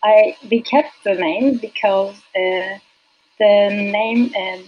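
A woman whose first language is Turkish, a UX designer as a podcast guest, talking hesitantly with many fillers and soft laughs. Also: medium pitch, steady tone, slow, highs cut off. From Turkey. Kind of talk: casual interview.

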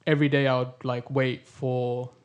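Relaxed man from Austria, halting chat. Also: mid-range voice. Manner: relaxed, halting, many fillers. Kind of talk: casual chat.

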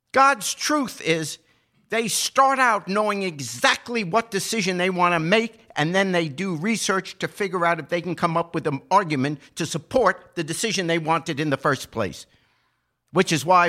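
A man's voice: a little high, fairly bright tone. An American man hosting a podcast, arguing a political opinion emphatically and leaning on rhetorical questions. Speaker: podcast co-host.

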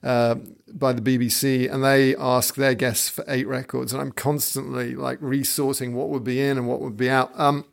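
A man raised in England, hosting a podcast interview in a casual, halting way full of fillers and restarts. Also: mid-range voice, flat delivery, creaky. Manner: hesitant, many fillers and restarts. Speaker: podcast host.

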